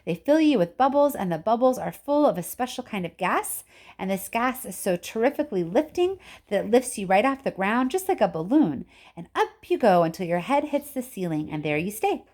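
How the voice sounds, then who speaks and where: A woman's voice: mid-range voice, big pitch swings, fairly quick, a little mellow. A woman voicing an eccentric, impatient and boastful male candy-factory owner in an exclamatory storybook reading. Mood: excited. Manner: exclamatory, theatrical. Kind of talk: children's storybook character reading.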